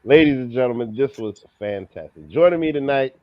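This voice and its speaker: A man's voice: medium pitch, lively intonation, brisk, no room sound, muffled recording. A man hosting a movie review show, introducing the panel and chatting casually about films.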